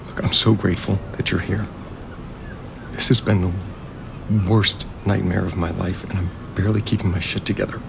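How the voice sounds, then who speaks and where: A man's voice: low voice, little pitch movement, normal speed, vocal fry, noisy background. An actor playing a grieving, overwhelmed resort heir in an audio drama, hesitant and apologetic, placating whoever he talks to. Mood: weary, grateful. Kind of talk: naturalistic audio drama acting.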